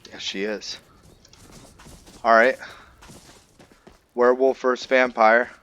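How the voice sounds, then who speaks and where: A man's voice: mid-range voice, steady tone, slow, a bit bright, clear, resonant tone, highs cut off. A man giving casual, rambling gameplay commentary, chatting informally to his viewers as the host. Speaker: gaming video host.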